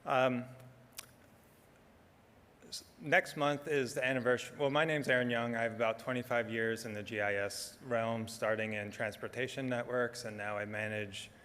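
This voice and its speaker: A soft-spoken middle-aged man giving a relaxed conference talk, with frequent ums as he walks through slides. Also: mid-range voice, normal speed, big-room sound, a little hiss or hum. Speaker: conference speaker. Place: conference hall.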